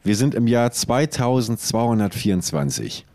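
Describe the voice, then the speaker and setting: Mid-pitched man musing casually. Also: expressive, normal speed, dark tone. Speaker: podcast co-host. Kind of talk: casual podcast chat.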